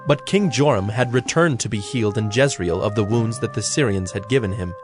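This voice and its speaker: Male scripture narrator over soft music. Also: fairly low pitch, a little fast.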